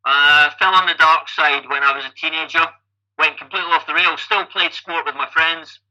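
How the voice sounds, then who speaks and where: A Scottish man in his fifties talks through his story as an interview guest. His voice sits a little high and sounds very bright and forward. He keeps restarting his sentences and repeating the opening word as he goes. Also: steady tone, moderate pace, muffled recording. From Scotland. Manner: chatty, repeats words when starting sentences. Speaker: guest athlete and coach.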